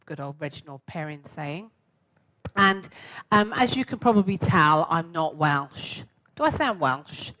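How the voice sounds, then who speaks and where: A woman's voice: deep voice, lively intonation, unhurried, bright, forceful tone, rough recording. A woman in her sixties with a London accent gives a chatty, self-mocking talk to a live audience and asks them questions. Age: 60s. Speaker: guest speaker.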